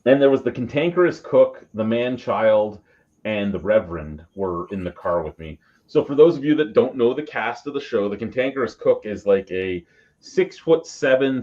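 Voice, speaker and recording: A man from Canada chatting casually and telling anecdotes in a profane, animated way as a co-host. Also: on the low side. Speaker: beer show co-host. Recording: dull lo-fi call audio.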